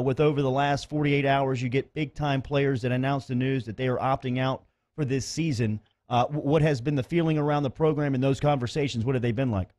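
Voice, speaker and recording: Male sports radio host, mid-pitched. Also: a little mellow. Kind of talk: conversational interviewing.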